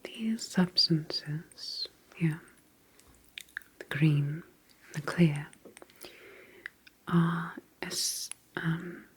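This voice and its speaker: A woman in an ASMR roleplay, speaking in a breathy whisper as a serene, faintly sinister sleep clinic doctor, with soothing, deliberate reassurance. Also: lowish voice, steady tone, slight background noise, rough recording.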